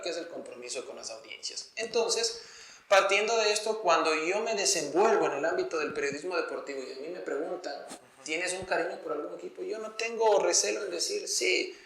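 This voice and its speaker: A man's voice: fairly high pitch, creaky, sharp tone, echoey room, rough recording. An Ecuadorian man speaking as an interview guest, explaining his views and experiences in an earnest, articulate, conversational way. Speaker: interview guest, sports journalist.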